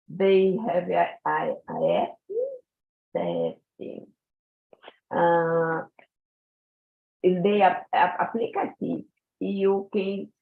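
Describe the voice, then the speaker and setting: Woman, guest speaker on a tinny phone-like call line, unhurried and searching for English words. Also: lowish voice, gravelly. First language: Portuguese. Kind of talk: online conference talk. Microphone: video call.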